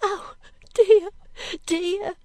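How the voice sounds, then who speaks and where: High-pitched, plaintive frightened servant girl. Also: expressive, unhurried, a little mellow, dry recording. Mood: frightened, distraught. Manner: plaintive, pleading, breathlessly repetitive. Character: frightened, piteous young servant girl, wretched and eager to please. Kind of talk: audiobook character acting.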